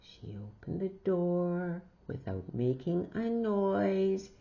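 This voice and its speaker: Woman reading a kids book out loud, really slow n dramatic, lowish voice, bit dull sounding. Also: big pitch swings, creaky. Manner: theatrical, exclaims reactions between lines. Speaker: storybook reader. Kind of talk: expressive read-aloud storytelling for children. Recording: dull lo-fi recording.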